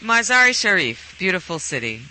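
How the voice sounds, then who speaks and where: High-pitched, bright-voiced man hosting talk. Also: sing-song, normal speed, low-quality recording. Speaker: call-in show host giving advice. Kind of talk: conversational advice talk.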